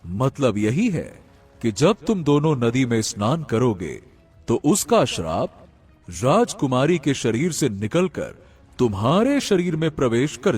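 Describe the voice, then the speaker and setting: Man playing a slow, husky, solemn river god. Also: mid-range voice, a little mellow, full character voice. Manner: grave, deliberate, pronouncing. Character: handsome male river god, solemn and imposing. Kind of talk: animated fairy tale character voice.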